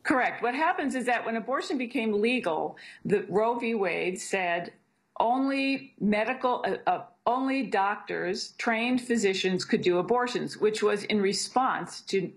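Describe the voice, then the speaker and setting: A middle-aged woman, a physician assistant, speaking earnestly in a news interview, often restarting her sentences. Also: medium pitch. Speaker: physician assistant, abortion provider.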